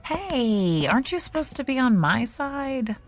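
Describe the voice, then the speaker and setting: Lively, high-voiced young woman. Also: unhurried, full character voice. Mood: playful, teasing. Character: playful, teasing young actress, friendly. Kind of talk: naturalistic radio drama dialogue.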